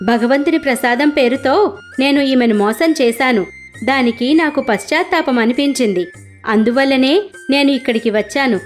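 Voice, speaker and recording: A woman's voice: sits high, moderate pace, faint music behind, voice pushed up, natural voice. A woman voicing a divine bull attendant disguised as a man, speaking firmly and earnestly. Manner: firm, earnest.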